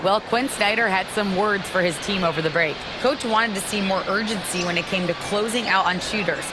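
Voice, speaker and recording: A woman's voice: medium pitch, brisk, heavy background noise, lightly acted. A woman playing a TV sideline reporter giving an update in a basketball video game. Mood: brisk, reporting. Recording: loud arena crowd and music behind.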